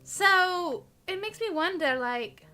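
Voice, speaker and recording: High, bright-voiced woman streaming games. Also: expressive, takes it slowly. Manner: giggly, chatty, rambling. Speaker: game live streamer. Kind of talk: casual live game commentary. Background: game music.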